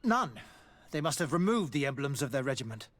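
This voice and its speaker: An actor playing an evasive, formal army doctor in a video game, hesitant and deferential. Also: male voice, medium pitch, very animated, fairly quick, a bit bright. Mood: anxious, confessing. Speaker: military doctor. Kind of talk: dramatic video game acting.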